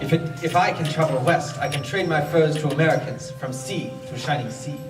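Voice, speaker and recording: A fairly high male voice from a distance, a man playing an adoring frontier fur trader full of adventure. He declaims in flowery phrases, as in an amateur radio play, with music behind. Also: fairly quick, close to an everyday voice. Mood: adoring, hopeful. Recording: echoey stage, distant mic, music behind.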